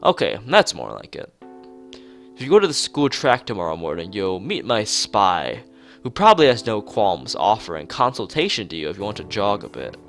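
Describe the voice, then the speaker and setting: Man playing male school nurse, visual novel, stern yet cheerful, animated pitch, creaky edge. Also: moderate pace, faint music behind, full character voice. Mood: pleased, brisk. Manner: firm, lecturing. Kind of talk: visual novel dialogue read aloud.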